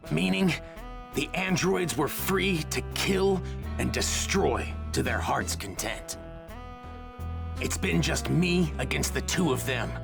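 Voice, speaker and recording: Young male warrior from a ruined future, anime game dub: grave, polite, very creaky, clear background score. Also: medium pitch. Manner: solemn, deliberate, polite.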